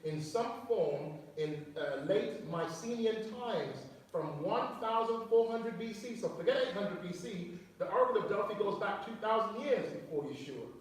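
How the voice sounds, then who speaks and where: A man's voice: sits high, expressive, normal speed, few pauses. A man teaching a sermon to his fellowship, explaining words and asking the listeners questions. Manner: explanatory, reads quotes aloud, asks listeners questions. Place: church hall. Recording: very echoey room, mic far off, faint noise.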